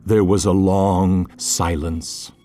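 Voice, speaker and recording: Deep, slow male narrator. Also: level tone, mellow, faint music behind. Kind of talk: scripted literary narration, measured.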